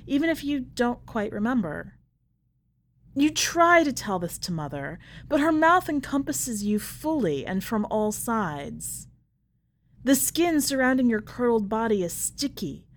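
A woman reading a literary short story aloud in a measured, deliberate way. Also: on the high side. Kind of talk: scripted literary narration.

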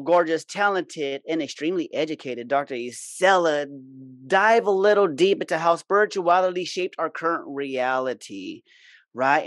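A man's voice: on the high side, dry recording, studio quality. A man hosting a casual podcast in an effusive, informal, slangy way. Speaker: podcast host.

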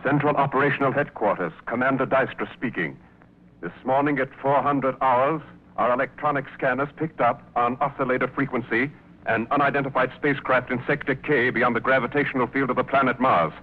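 Man acting a brisk space commander on tinny old radio. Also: medium pitch, natural voice. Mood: stern, urgent. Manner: clipped, official, commanding. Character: brisk military space commander giving defense orders. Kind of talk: 1950s radio science fiction acting. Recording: tinny, narrow-band old radio recording.

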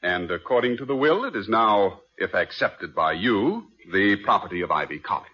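A man's voice: fairly low pitch, lively intonation, normal speed, breathy edge. An actor playing a formal, fussy lawyer in 1950s radio comedy style, precise and stiff, hesitating as he opens. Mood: precise, measured. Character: formal, fussy male lawyer bearing complicated news. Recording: dull vintage radio recording.

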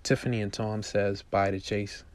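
A man's voice: on the low side, level tone, normal speed, no echo. A man reading a movie script aloud, narrating scene headings and action as its amateur writer.

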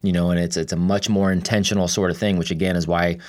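A man's voice: low-pitched, flat delivery, fast. A middle-aged man hosting an interview, conversational and agreeable, often restarting his sentences as he thinks aloud. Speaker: podcast host.

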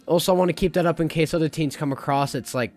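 Slightly hoarse young man rambling candidly. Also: on the high side, fast. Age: young adult. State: slightly hoarse, recovering lost voice. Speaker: podcast host. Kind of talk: casual solo podcast talk. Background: faint jazz music.

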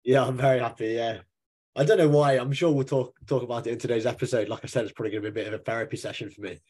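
A man with a slightly husky, croaky voice and a middling pitch. He talks casually as a podcast co-host, in a chatty, self-deprecating way. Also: steady tone, very fast, a little mellow.

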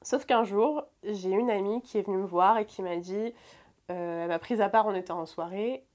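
A woman's voice: medium pitch, normal speed, darkish tone. A woman in her twenties telling a personal story in conversation, hesitant, with many fillers and restarts. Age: 20s. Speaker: guest.

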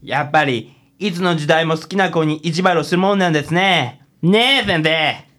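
A woman's voice: low-pitched, moderate pace, fairly bright tone. A woman playing a cocky, easily flustered detective sidekick in a radio drama. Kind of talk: scripted radio drama acting.

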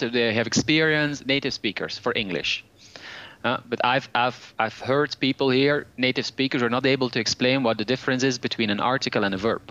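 A man's voice: mid-range voice, pushed and bright, smooth. Man explaining in dull lo-fi audio. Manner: explanatory, thinks aloud. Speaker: guest language teacher. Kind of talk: casual podcast conversation.

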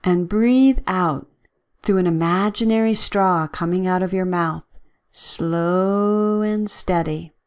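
A woman leading a guided relaxation with gentle, soothing, measured instructions and long pauses. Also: fairly low pitch, clean tone.

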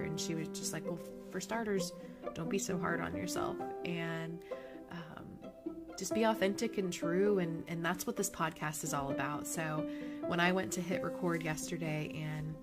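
A woman hosting her own podcast, talking in a casual, upbeat and encouraging way with a slightly nasal voice. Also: lowish voice. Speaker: podcast host. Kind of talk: casual motivational monologue.

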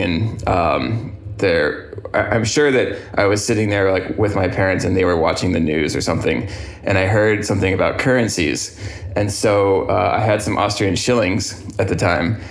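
Low-voiced man in an echoey room. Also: moderate pace. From Europe. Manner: relaxed storytelling, laughs easily. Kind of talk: casual conversation, anecdote. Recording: roomy echo.

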